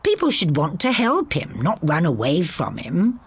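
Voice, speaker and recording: A woman's voice: low-pitched, huge pitch swings, unhurried, slightly rough, exaggerated voice. Storybook part: cocky boy, played by a woman, exasperated, emphatic, very varied intonation. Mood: matter-of-fact, eager. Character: cocky, exasperated boy, scornful know-all. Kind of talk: audiobook character dialogue.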